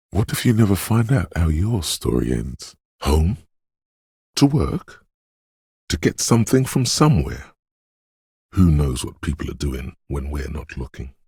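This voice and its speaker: A man voicing a brooding, cryptic inner voice of intuition in a video game, speaking in a hushed, deliberate, portentous way. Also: very deep voice, husky, no room sound, clean studio sound, exaggerated voice. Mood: pensive, brooding. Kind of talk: scripted video game narration.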